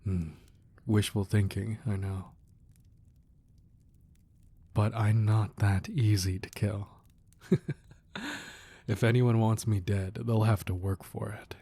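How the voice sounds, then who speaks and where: A man playing a protective, romantic crime boss husband in an intimate ASMR roleplay, soft and hushed, tender with an edge of menace. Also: fairly low pitch, level tone, breathy edge, full character voice. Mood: wry, affectionate. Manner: slow, deliberate, tender murmuring with pauses.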